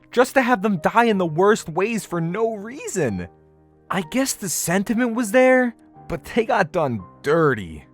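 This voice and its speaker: Guy doing a snarky casual review voiceover, really lively pitch swings, music playing underneath. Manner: informal, sarcastic, opinionated, swears casually. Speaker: video reviewer and host. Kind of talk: semi-scripted video essay commentary, casual. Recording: music behind the voice. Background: background music.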